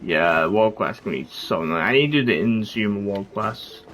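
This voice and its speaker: A man's voice: fairly low pitch, lively intonation, brisk, fairly bright tone. A man giving casual gameplay commentary as a streamer, rambling and often trailing off mid-thought. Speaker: game streamer. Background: game music.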